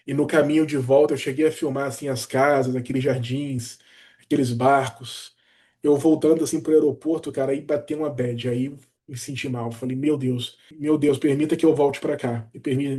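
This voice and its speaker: A man, telling his own story in an easygoing, animated way, often dropping "cara" and "né". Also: medium pitch. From Brazil. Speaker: doctor sharing his career story. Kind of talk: casual personal vlog talk.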